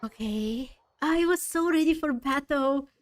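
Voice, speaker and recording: A woman with a fairly high voice plays a game and reads its lines aloud. She talks slowly and thoughtfully, pausing mid-phrase, in a dry recording with faint music. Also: dry recording. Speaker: gaming streamer. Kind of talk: game playthrough, reading dialogue aloud and musing.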